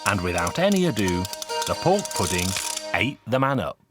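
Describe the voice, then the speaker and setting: A man with a fairly low voice narrates a folk tale from a script. His delivery is measured and expressive, with animated rises and falls in pitch, like storybook telling for a cartoon. Music plays prominently behind him. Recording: music under the voice.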